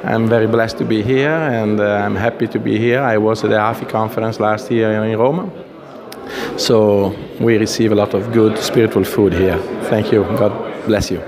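A man's voice: fairly low pitch, fairly even intonation, normal speed, crowd noise. A man from Italy, a native Italian speaker, introducing himself earnestly in English on a lapel mic. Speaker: church delegate.

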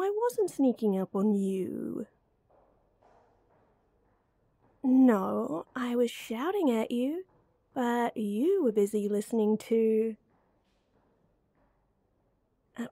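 A woman acting as a young female best friend in a roleplay audio, with a high, mellow voice. She is playful, teasing and coaxing, and takes her time very slowly in a close, dry recording. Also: husky, no echo, full character voice. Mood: curious, playful. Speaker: voice actor. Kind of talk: acted roleplay audio addressed to the listener.

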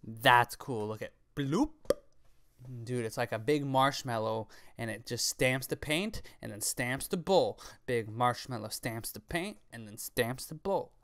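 Young guy doing reaction commentary, medium pitch, slangy n sweary, kinda sarcastic, close mic. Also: a little slow, fairly bright tone. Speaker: reaction video creator. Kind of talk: casual off-the-cuff reaction commentary. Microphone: close studio microphone on arm, with headset.

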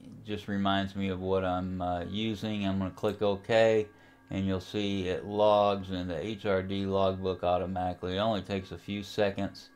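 A man giving a casual software tutorial, unscripted and a little hesitant as he thinks aloud while working. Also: sits low, level tone, normal speed. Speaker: amateur radio enthusiast, tutorial host.

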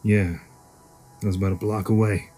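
Gruff low-voiced shopkeeper played by a man. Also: level tone, normal speed, dark tone, faint music behind, close to an everyday voice. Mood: matter-of-fact, jaded. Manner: gruff, profane, offhand storytelling. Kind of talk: sci-fi audio drama acting.

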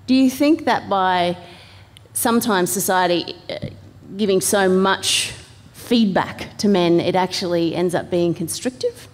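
A woman moderating a live panel discussion with measured, careful phrasing. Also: on the low side. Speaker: panel moderator, radio host. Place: large event venue, live panel. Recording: reverberant hall.

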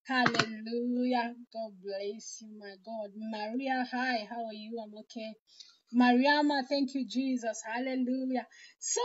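A woman with a Zambian accent preaches informally on a livestream, devoutly chatting to viewers, repeating phrases and exclaiming blessings. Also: on the high side. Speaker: online preacher. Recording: dull lo-fi livestream with music behind.

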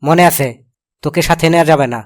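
A man's voice: fairly high pitch, brisk, lightly acted. A man voicing a brusque, dry village uncle in a comedy cartoon, with a colloquial Bangladeshi accent. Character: gruff, grudging village uncle looking after nephew.

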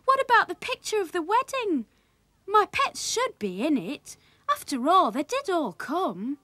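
Earnest small boy for a children's story , voiced by a woman: high pitch, eager and plaintive. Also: very animated, unhurried, pushed and bright, no room sound, heavily acted. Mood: pleading. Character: earnest young boy devoted to his pets.

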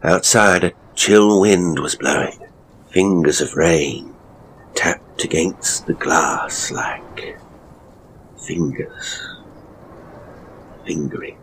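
Very deep-voiced, slow male horror storyteller. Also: fairly bright tone, a little hiss or hum, poor audio. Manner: theatrical, deliberate, with pauses. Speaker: horror storyteller, ghost tour guide narrator. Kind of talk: dramatic first-person horror narration, in character.

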